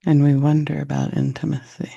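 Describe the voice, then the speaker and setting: A man leading a guided meditation in a soft, unhurried, reflective way, with long pauses and a gravelly, husky voice. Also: a little high, monotone, highs cut off.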